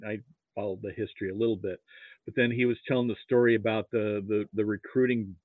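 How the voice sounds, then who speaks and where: A man chatting as a podcast guest in a modest, halting way, often repeating his words, on slightly dull audio. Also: lowish voice, no room sound. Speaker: podcast guest, amateur sports archivist. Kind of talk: casual interview chat.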